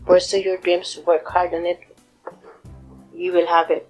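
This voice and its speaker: Middle-aged woman, mother, lowish voice, earnest encouraging tone, faint music behind. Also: monotone, measured pace, darkish tone, low-quality recording. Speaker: interviewee, mother. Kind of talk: personal interview.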